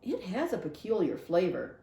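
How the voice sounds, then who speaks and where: A woman voicing a reserved, melancholy preacher father in a children's novel, serious and measured, gentle but firm. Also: mid-range voice, big pitch swings, reverberant, a little hiss or hum, lightly acted. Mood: pensive, melancholy. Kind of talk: acted children's novel read-aloud.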